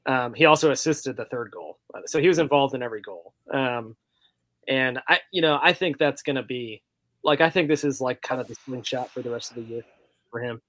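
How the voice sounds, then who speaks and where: A man's voice: mid-range voice, a little fast, fairly bright tone, no room sound. A man chatting casually as a soccer fan podcast panelist, talking loosely with many filler words. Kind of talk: casual chat.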